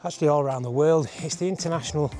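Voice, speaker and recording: A man's voice: a little high, very fast, a little mellow, faint music behind. A man giving casual, chatty commentary with wry understatement as he hikes uphill outdoors, sounding exerted from the climb. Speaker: travel vlogger and host. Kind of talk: casual walk-and-talk hiking commentary. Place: outdoors on a forest hiking trail.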